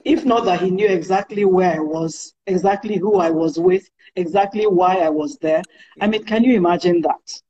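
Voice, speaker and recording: Deep-voiced woman guest. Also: normal speed, warm tone, muffled recording. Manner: confident, amused storyteller. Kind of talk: casual storytelling in an interview.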